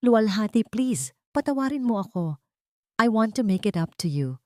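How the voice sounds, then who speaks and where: A woman's voice: a little high, very animated, normal speed, darkish tone, dry recording, clean studio sound, voice pushed up. Woman voicing a sugary, scheming young socialite rival. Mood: feigned sweet concern. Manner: sweet, pleading, mixing English and Tagalog.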